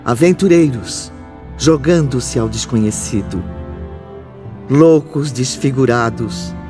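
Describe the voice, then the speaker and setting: A man narrating a scripted drama in a solemn, deliberate manner. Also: mid-range voice, a little mellow, faint music behind.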